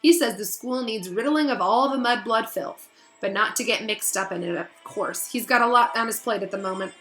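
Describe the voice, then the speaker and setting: Woman playing a sneering, bright-voiced schoolboy bully. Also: on the high side, faint music behind, close to an everyday voice, rough recording. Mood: smug. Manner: slow drawl, snappish, disdainful. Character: sneering arrogant schoolboy bully, privileged and petulant.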